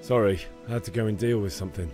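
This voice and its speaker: An actor playing a young medieval peasant lad in a video game, casual and a little defensive. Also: male voice, on the low side, quick pace, warm tone, soft background music, close to an everyday voice. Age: young adult. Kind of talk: dramatic video game dialogue.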